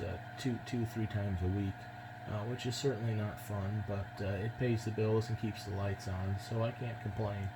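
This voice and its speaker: A man's voice: fairly low pitch, heavy background noise. An American man chatting casually as an amateur radio operator, speaking politely and a little hesitantly. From United States. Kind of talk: casual ham radio contact.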